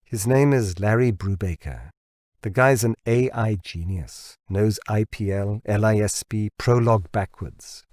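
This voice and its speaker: A man's voice: lowish voice, darkish tone, dry recording, natural voice. Man voicing a shrewd, persuasive researcher. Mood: confident, insistent. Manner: deliberate, insistent salesmanship. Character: shrewd, persuasive male biotech researcher pitching his boss. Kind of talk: audiobook character dialogue.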